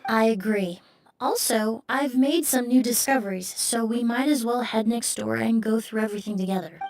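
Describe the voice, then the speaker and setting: A woman's voice: on the high side, normal speed, a little mellow, natural voice. A woman playing a composed, analytical young investigator in a video game, speaking precisely and clinically. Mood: calm, analytical. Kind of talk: dramatic video game voice acting.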